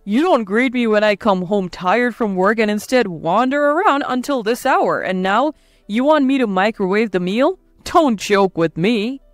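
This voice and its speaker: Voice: mid-range voice, lively intonation, moderate pace, no echo, lightly acted. A performer voicing a domineering, irritable husband in a narrated story, scolding and commanding with contempt. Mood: angry, scolding. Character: domineering, irritable, sneering husband berating his wife. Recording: dry close voice over faint music.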